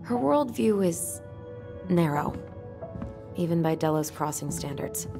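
A woman's voice: lowish voice, expressive, measured pace, creaky, music in the background. Wry, guarded young American woman. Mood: dry, wry. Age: young adult. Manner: subdued, dry, wry. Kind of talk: naturalistic video game dialogue.

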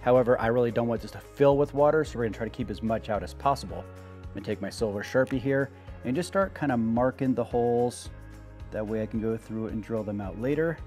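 A middle-aged man talks through a do-it-yourself build in a relaxed, explanatory way, clearly keen on the gear. His voice sits at an ordinary middle pitch, picked up close on a lapel mic in a wooden workshop. Soft music plays faintly underneath. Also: quick pace. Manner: casual, explanatory, enthusiastic about gear. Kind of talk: product build walkthrough. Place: open wooden workshop, indoors.

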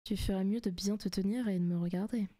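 Soft, teasing demon girlfriend acted by a woman. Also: mid-range voice, steady tone, quick pace, close to an everyday voice. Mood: taunting, possessive. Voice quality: soft, often breaking into whispers. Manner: teasing, coaxing, possessive. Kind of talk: intimate ASMR roleplay.

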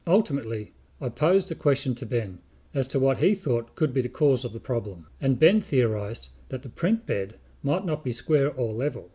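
Older aussie guy doing a step by step explainer, bit of husk in the voice, measured delivery. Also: medium pitch, animated, warm tone, husky. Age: older, about 60. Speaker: video host, 3D print designer. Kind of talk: scripted explainer narration.

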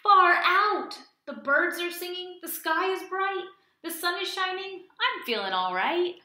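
A woman's voice: extremely high pitch, lively intonation, unhurried, bright, forceful tone, roomy sound, pitched above the performer's own voice, exaggerated voice. A woman voicing an emotional turtle from a children's storybook, stretching words for emphasis. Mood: thrilled.

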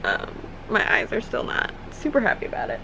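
A woman's voice: medium pitch, wildly swooping pitch, brisk, vocal fry. A young woman vlogging casually about her reading from her bedroom, congested and groggy, rambling with fillers. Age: young adult. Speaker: book vlogger. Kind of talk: casual vlog chat. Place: small bedroom indoors. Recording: noisy home recording.

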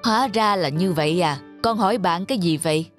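A woman voicing a patient, wise village father and teacher in a moral cartoon, speaking in a measured, instructive way. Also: fairly low pitch, close to an everyday voice. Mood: concerned. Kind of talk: cartoon dialogue, moral storytelling. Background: music.

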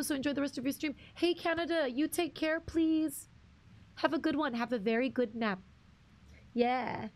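A young woman with a husky voice, chatting casually with viewers on a game stream, rambling and restarting her sentences. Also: high-pitched. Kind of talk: casual live-stream chat reading viewer comments.

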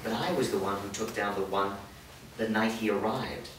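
A man's voice: fairly low pitch, steady tone, moderate pace, noisy background, close to an everyday voice. A man reading the part of a military commander, commanding and urgent, in a staged script reading. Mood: encouraging. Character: gruff military commander rallying soldiers. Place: large studio room. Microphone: far camera mic. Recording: echoey room from far off.

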